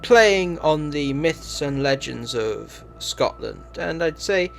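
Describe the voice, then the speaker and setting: A man delivering scripted review narration in a measured, matter-of-fact way. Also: on the high side, animated, creaky, faint music behind. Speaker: video reviewer.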